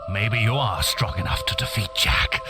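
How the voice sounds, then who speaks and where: An actor playing a bitter, treacherous old wizard in a fantasy video game, with a dramatic, emphatic delivery. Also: male voice, fairly low pitch, normal speed, loud music behind the voice, close to an everyday voice. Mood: defeated, regretful. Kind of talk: scripted fantasy game acting.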